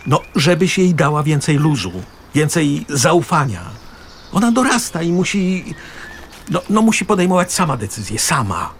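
A man's voice: on the high side, big pitch swings, normal speed, slight background noise. An actor playing an exasperated middle-aged husband and father in a naturalistic radio drama, reasoning and reproachful, with pointed, hesitant phrasing. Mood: persuasive, insistent.

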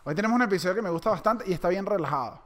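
A man's voice: high voice, lively intonation, very fast, a loud crowd. A young Venezuelan man co-hosting a podcast, teasing his partner and laughing often in casual chat. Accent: Venezuelan Spanish. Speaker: podcast co-host.

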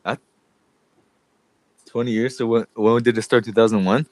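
A man's voice: mid-range voice, flat delivery, moderate pace, a little mellow, no room sound. A man co-hosting a podcast in casual chat, congested and laughing often as he jokes around. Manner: laughs often, loose and joking. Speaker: podcast co-host.

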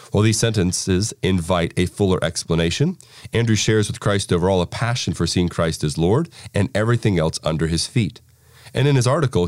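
A man with a low voice hosts and reads a prepared introduction. The recording is dry and close, with very little room sound. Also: no room sound. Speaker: podcast host introducing an article. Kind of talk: scripted spoken introduction.

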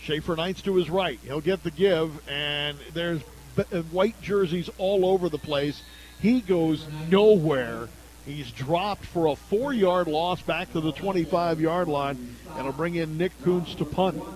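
High-pitched American man calling football play-by-play. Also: unhurried, a little mellow, low-quality recording. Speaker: play-by-play announcer. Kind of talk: live radio high school football commentary.

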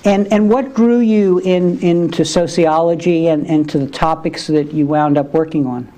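A man hosting an interview, introducing his guest and asking gentle, probing questions. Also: a little high, fairly even intonation, moderate pace. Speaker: interview host.

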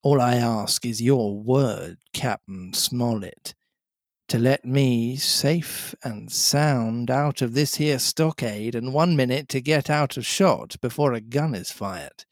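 Bloke doing a slow sly pirate leader, cheery n cajoling, fake polite, storybook voice. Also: male voice, mid-range voice, no echo, clean studio sound. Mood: slyly courteous. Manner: drawn-out, mock-respectful, cajoling.

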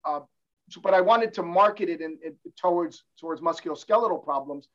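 A man's voice: fairly high pitch, a little fast, fairly bright tone, lo-fi sound. A middle-aged man from New Jersey, an orthopedic hand surgeon talking as a podcast guest, explaining at length with frequent restarts. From New Jersey, born in Cuba. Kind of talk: casual interview talk. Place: office in his medical building.

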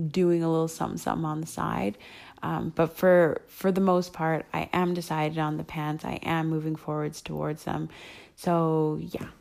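Hoarse woman with a low, gravelly voice. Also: a little mellow. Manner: rambling, thinking aloud with many ums. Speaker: podcast host. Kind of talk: casual solo podcast chat.

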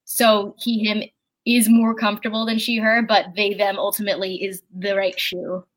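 A person with a very high speaking voice, chatting casually as a guest at home, rambling and halting with lots of fillers and restarts. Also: female voice, flat delivery, rough recording. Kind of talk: casual chat. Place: at home with a candle lit.

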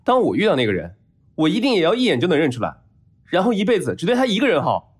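Man acting as spoiled rich young heir, theatrical and whiny, husky voice, wildly swinging intonation, audio drama. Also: fairly high pitch, wildly swooping pitch, fast talker, exaggerated voice. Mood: stubborn, sulky.

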